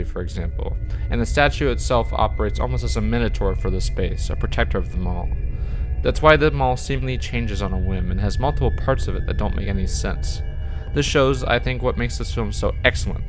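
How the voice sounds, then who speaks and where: A man narrating a scripted video essay review as the channel's host, with emphatic, wordy phrasing that leans on repeated words. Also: medium pitch. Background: background music.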